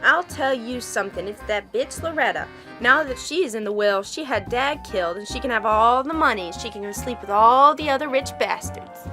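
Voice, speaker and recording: A woman's voice: on the high side, expressive, a little fast, sharp tone. A woman playing a fiery, bitter daughter in her late teens in a noir radio drama, sassy and combative. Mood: bitter, angry. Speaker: student voice actor. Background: music.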